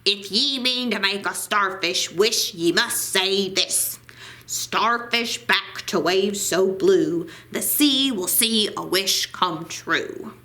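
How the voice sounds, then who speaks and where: A woman's voice: mid-range voice, slow pace, bright, forceful tone. A woman voicing a grumpy old seaside man with salty, firm, muttering talk in a storybook reading. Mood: gruff, instructing. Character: gruff, grumpy old seaside man who wants solitude.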